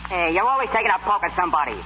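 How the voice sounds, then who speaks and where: An actor with a New York accent playing a brash, bossy boxing manager in a 1930s radio comedy, slangy and sarcastic. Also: male voice, sits high, expressive, racing. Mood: exasperated, sarcastic. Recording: scratchy, hissy 1930s radio broadcast, dull.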